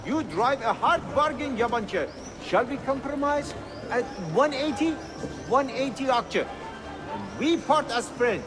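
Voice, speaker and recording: A man's voice: very high voice, expressive, a little slow, over music. An actor playing a wheedling, chatty bazaar rug merchant in a video game, full of theatrical salesman's patter. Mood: haggling, aggrieved. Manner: salesman's patter, mock-offended. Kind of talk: video game character acting.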